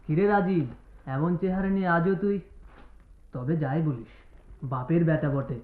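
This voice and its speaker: An actor plays a self-mocking young student in a radio play, full of exaggerated, joking banter. His voice is high for a man. It sounds boxy and tinny, with plenty of room echo. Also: unhurried, close to an everyday voice. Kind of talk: Bengali radio drama acting.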